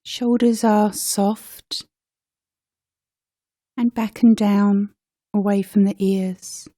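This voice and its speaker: A woman guides a meditation in a soft, mellow voice at a very slow pace. She speaks gently, leaving space between short phrases as a teacher would. The recording is dry, with no room sound around her words. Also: mid-range voice, steady tone, dry recording.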